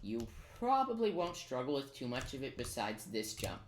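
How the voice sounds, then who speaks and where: A man's voice: mid-range voice, very animated, normal speed. A man giving a casual, step-by-step game walkthrough with playful asides. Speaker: gamer giving a tutorial. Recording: roomy echo, noise, keyboard clicking. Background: computer keyboard typing and clicks.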